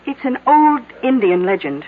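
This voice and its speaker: An American woman playing a sardonic, knowing small-town widow in a radio drama, dry and deliberate in manner. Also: a little high, very animated. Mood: grave. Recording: tinny, hissy old radio broadcast.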